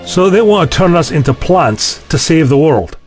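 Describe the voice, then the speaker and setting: Man playing a sceptical, higher-voiced university chancellor. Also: very animated, normal speed, a little mellow, slightly rough, soft background music. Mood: amazed. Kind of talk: science fiction audio drama acting.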